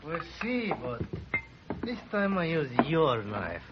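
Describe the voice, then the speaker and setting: High-voiced, hot-tempered knife fighter. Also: male voice, big pitch swings, slow pace, heavy background noise. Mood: challenging, angry. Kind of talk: 1950s radio western acting. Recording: muffled old radio, loud crowd.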